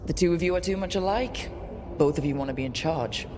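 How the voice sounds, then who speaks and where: A performer playing a steady, perceptive team leader in a fantasy video game, speaking directly and conversationally. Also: medium pitch, brisk, over music, lightly acted. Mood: frank. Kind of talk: naturalistic video game acting.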